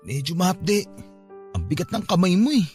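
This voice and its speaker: A man's voice: high voice, sing-song, brisk, darkish tone, soft background music. An actor playing a hurt, defensive young man confiding in his ex in a radio drama.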